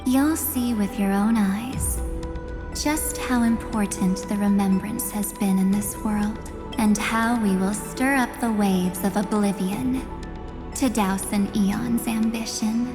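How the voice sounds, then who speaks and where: A woman acting an enigmatic, menacing, composed woman in a video game, speaking deliberately with a softly taunting manner. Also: mid-range voice. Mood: composed, inviting. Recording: long reverb, loud music behind. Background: music.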